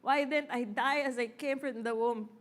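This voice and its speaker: A woman's voice: high-pitched, steady tone, normal speed. A woman with a Filipino accent, a parent, preaching an earnest sermon in church, mixing English and Tagalog with fillers. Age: adult parent. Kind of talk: Taglish sermon.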